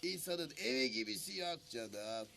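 A man's voice: on the high side, animated, unhurried, gravelly, pushed and bright, soft background music, exaggerated voice. A man voicing a grumpy, exasperated forest creature in a children's cartoon, complaining dramatically and repeating himself. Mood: weary grumbling.

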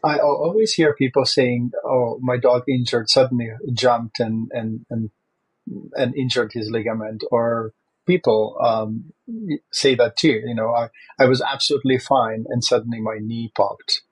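Husky-voiced man. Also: mid-range voice, fairly even intonation, normal speed, rough recording. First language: Czech. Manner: thoughtful, with frequent fillers and restarts. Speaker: host. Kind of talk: conversational interview.

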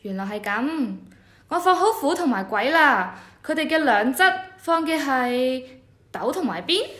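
High, eager junior court official voiced by a woman. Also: animated, measured pace, fairly bright tone, reverberant. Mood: studious. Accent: Hong Kong Cantonese. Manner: earnest, deferential. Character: eager, respectful newly arrived junior rites official. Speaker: voice actor. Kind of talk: scripted educational radio drama.

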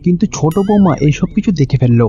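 A man's voice: fairly high pitch, lively intonation, fast, dark tone. A man with a Bengali accent reading scripted narration for a cartoon story. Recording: dull, lo-fi audio with music behind.

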